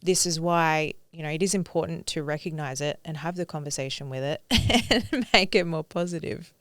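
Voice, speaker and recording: A woman's voice: deep voice, normal speed, fairly bright tone, no room sound. A woman in her thirties co-hosting a podcast, talking casually and upbeat with frequent laughs and fillers. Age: 30s. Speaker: podcast co-host. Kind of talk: casual conversation.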